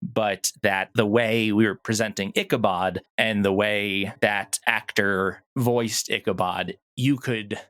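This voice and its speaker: Male interview guest, low pitch, thoughtful and explanatory, conversational delivery. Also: fairly even intonation, takes it slowly, hardly any pauses, fairly bright tone. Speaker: audio drama producer, interview guest.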